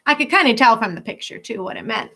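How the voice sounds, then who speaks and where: A woman schoolteacher reading a picture book aloud to children, expressive and instructive, drawing out key words and pausing to explain. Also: a little high, slight background noise.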